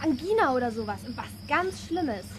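An actress playing a bold, quick-thinking girl in a children's radio play. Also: high-pitched, lively intonation. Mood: feigned innocence. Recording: clear background noise.

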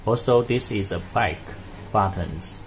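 Low-voiced, slow man demonstrating a product. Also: faint music behind, rough recording. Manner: halting, step-by-step delivery. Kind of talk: hands-on product tutorial narration. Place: indoors at a desk with devices. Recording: noticeable background noise.

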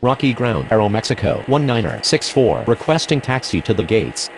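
Creaky-voiced man on air traffic radio. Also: medium pitch, lively intonation, normal speed, low-quality recording. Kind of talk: scripted aviation radio phraseology.

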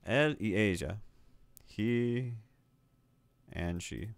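A man's voice: lowish voice, animated, extremely slow, vocal fry, a little hiss or hum. A man streaming a language app, repeating the sentences aloud and correcting himself with small laughs. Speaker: streamer learning Spanish. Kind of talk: casual commentary while playing a language app.

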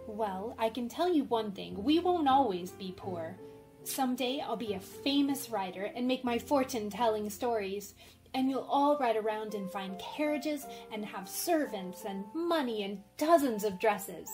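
A woman's voice: on the high side, expressive, measured pace, a little mellow, faint music behind. A woman playing a spirited, ambitious, bossy young sister in an old-time radio drama. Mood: eager, dreamy.

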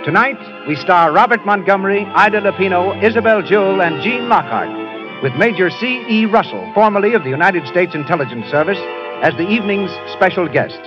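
Man, radio announcer, polished, emphatic, high lively voice, orchestra behind, muffled old broadcast. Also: fairly quick, over music.

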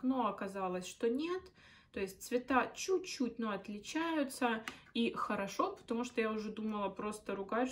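A woman, casually reviewing makeup as a beauty vlogger, frank and openly grumbling. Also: medium pitch.

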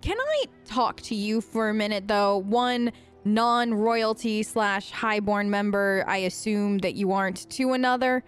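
A woman's voice: medium pitch, lively intonation, unhurried, smooth, faint music behind. A woman hosting a gaming video, improvising role-play chat in a chatty, polite, rambling way.

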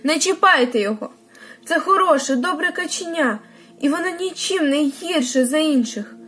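A woman voicing a gentle, protective mother duck in a children's storybook, tender and earnest. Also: high voice, animated, moderate pace, voice pushed up. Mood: pleading, tender.

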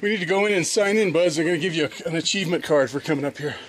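A man's voice: on the high side, racing. A man chatting casually with his children as their father on an outdoor hike, recorded on a handheld camera. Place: outdoors on a mountain trail and stairs. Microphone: handheld camera, close behind it.

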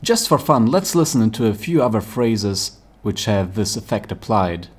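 A man's voice: fairly low pitch, expressive, normal speed. A man giving concise, matter-of-fact scripted instructions as a tutorial presenter in a voice-over. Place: voice-over for screen recording.

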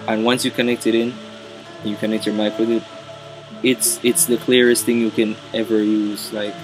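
A man's voice: fairly low pitch, level tone, normal speed, low-quality recording. A young man giving a casual, enthusiastic gear review to camera, conversational and repeating himself for emphasis, recorded at arm's length in a small room. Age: young adult. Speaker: keyboard player reviewing music gear. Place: small wood-panelled bedroom, indoors. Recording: music playing behind the voice. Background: instrumental music.

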